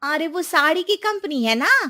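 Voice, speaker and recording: A woman's voice: very high voice, animated, unhurried, pushed and bright, full character voice. A woman playing a gossipy, concerned neighbour in a village tale. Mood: gossiping.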